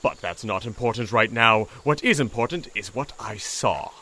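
A man's voice: fairly low pitch, expressive, normal speed, bright, forceful tone, heavily acted. A man voicing a pompous, formal and brave toad in an audio drama, speaking in a grandiloquent way. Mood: earnest.

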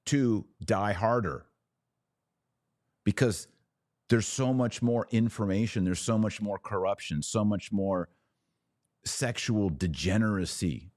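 An American man hosting a podcast, giving religious commentary off the cuff in an emphatic, preachy way with deliberate pauses and repetition. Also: lowish voice, darkish tone, no echo, clean studio sound. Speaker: podcast host.

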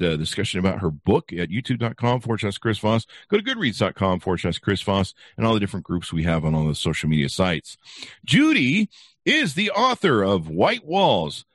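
A man's voice: on the low side, dry recording, clean studio sound. A man hosting a podcast interview in an upbeat, breezy, slangy patter. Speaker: podcast host.

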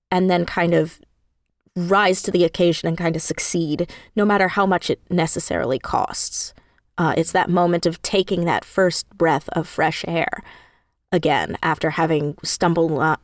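Low-voiced, effusive woman in an interview. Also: darkish tone, no room sound, studio quality. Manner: effusive, enthusiastic. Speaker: interview guest, audio drama creator. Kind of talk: casual interview chat.

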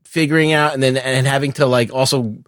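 Middle-aged male podcast co-host, mid-pitched, halting and informal, opinionated film chat, close dry recording. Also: steady tone, no room sound. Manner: halting, circling phrases, casual swearing. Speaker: podcast co-host discussing films.

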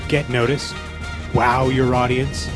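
A man's voice: medium pitch, little pitch movement, takes it slowly. Male ad announcer over music. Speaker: advertisement voice-over. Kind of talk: scripted promotional narration.

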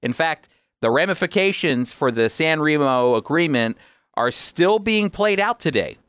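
A man's voice: mid-range voice, a bit bright, no room sound. Man hosting radio commentary, dry close sound. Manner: emphatic, explanatory, asks and answers own questions. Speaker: radio host.

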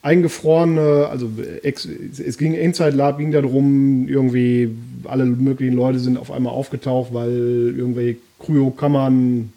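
A man's voice: mid-range voice, flat delivery, moderate pace, few pauses, warm tone. A man co-hosting a podcast, telling stories in an easygoing way with hesitant restarts. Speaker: podcast co-host. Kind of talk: casual chat.

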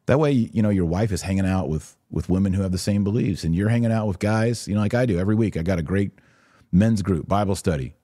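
Male podcast commentator: very low, mellow voice, conversational but emphatic delivery. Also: fast talker, no echo, studio quality. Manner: conversational, emphatic, acts out imagined exchanges. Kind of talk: casual religious commentary, answering listener questions.

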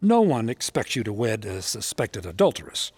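An actor playing a wise, gentle old rabbi with a measured, wry way of questioning in a biblical audio drama. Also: male voice, fairly low pitch, big pitch swings, breathy edge, dry recording. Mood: calm counsel.

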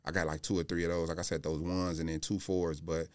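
Deep, fast-talking American man. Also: little pitch movement, darkish tone, clear, resonant tone, no echo, clean studio sound. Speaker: podcast guest. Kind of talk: casual chat about sneakers. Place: close-miked podcast studio.

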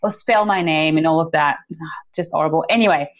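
A woman's voice: deep voice, normal speed. A woman around her thirties, a non-native English speaker, chatting casually and informally as a podcast co-host. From moved to Australia from a non-English-speaking country. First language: not English. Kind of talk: casual chat.

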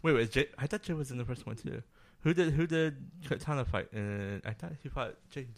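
A man co-hosting a casual chat podcast, agreeable and quick to chime in. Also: mid-range voice, no room sound. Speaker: podcast co-host. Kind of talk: casual chat about movies and games.

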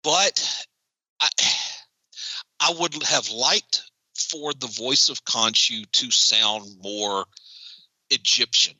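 A man co-hosting a casual podcast chat about a TV episode, animated and joking. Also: medium pitch, slow, pauses often, dry recording, poor audio. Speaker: podcast co-host.

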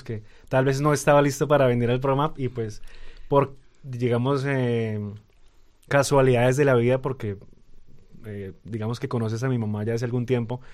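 A man's voice: medium pitch, a little mellow. A young Colombian man with a Colombian Spanish accent, a radio guest talking hesitantly with many fillers and restarts. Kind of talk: casual interview talk.

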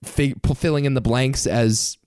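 A man's voice: mid-range voice, fairly even intonation, unhurried, a little mellow, clean tone, dry recording, clean studio sound. A man in his twenties chatting casually as a podcast co-host, anxious and rambling. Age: 20s. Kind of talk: casual chat.

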